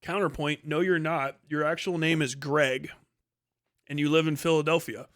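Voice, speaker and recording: A man's voice: a little high, fairly even intonation, normal speed, a bit bright. A man hosting a livestream, giving casual commentary and ranting in a sarcastic, mocking, heavily profane way. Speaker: livestream host. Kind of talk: casual reaction commentary, reading posts aloud and ranting.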